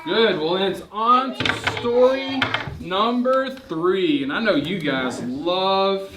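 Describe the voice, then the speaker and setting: A man's voice: high voice, slow, over a noisy crowd. A man, playfully telling stories to young children and coaxing them with questions. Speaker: children's storyteller and teacher. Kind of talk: animated story time with young children. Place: indoor room with a group of children. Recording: echoey room with clear background noise.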